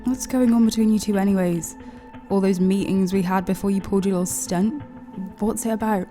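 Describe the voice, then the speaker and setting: A woman's voice: medium pitch, fairly even intonation, a little fast, dark tone, faint music behind, natural voice. A woman playing a wary, sardonic wronged woman with a dry, sceptical delivery in an audio drama. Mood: accusing. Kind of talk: dramatic dialogue.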